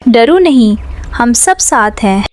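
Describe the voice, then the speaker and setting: A woman's voice: fairly high pitch, animated, takes it slowly, darkish tone. A woman playing a friendly, reassuring child in a children's cartoon adventure story.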